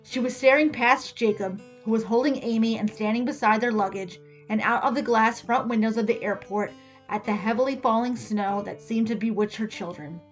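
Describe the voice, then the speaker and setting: A woman giving an expressive, scripted reading of a story as its narrator. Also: fairly high pitch, normal speed, low-quality recording. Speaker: story reader. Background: music with guitar.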